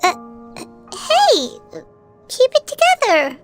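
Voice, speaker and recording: Squeaky, excitable little fairy. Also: female voice, slow pace, over loud music, full character voice. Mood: alarmed, urging. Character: tiny squeaky childlike fairy companion, excitable. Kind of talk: video game voice acting.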